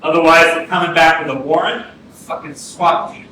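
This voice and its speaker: An actor playing a blunt, seasoned police detective in a staged reading, speaking sternly and deliberately with some swearing. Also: male voice, on the high side, steady tone, sharp tone. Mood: stern, threatening. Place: indoor arts centre stage, staged reading. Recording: echoey theatre from far off, noisy.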